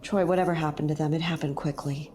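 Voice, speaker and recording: A woman's voice: sits low, steady tone, quick pace, darkish tone, faint music behind, natural voice. A woman playing a nervous, sometimes sarcastic young space explorer in an audio drama, hesitant and uneasy in her delivery. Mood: awed, uneasy.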